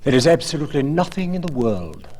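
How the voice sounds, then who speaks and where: A man voicing a languid, cynical aristocratic gentleman in a storybook reading, grandly persuasive and epigrammatic. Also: fairly high pitch, very animated, fast, a little hiss or hum, voice pushed up. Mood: intense, persuasive.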